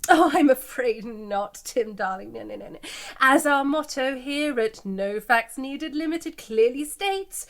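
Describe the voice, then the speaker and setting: A breezy company spokeswoman, played by an actress, with a fairly high voice. She is evasive and airily confident, smoothing over every difficulty in the manner of a satirical radio news interview. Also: expressive, unhurried. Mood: airily dismissive. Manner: airy, confident, glossing over problems. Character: breezy, evasive female company spokeswoman pitching an absurd scheme.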